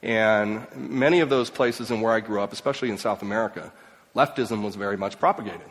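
A man raised in Mexico and Ecuador, giving an emphatic speech to an audience, swinging between loud and quiet. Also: on the low side, fairly quick. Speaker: speaker. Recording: long hall reverb.

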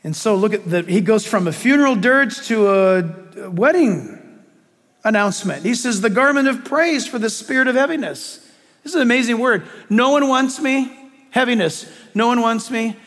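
A middle-aged man preaching a sermon, reading scripture with emphasis and dropping into hushed asides. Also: very high-pitched, animated, moderate pace. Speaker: pastor. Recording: long reverb of a large hall.

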